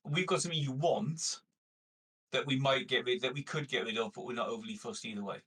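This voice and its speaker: A man's voice: medium pitch, brisk, fairly bright tone, breathy edge. A man playing a tabletop roleplaying game, talking casually and negotiating with the others.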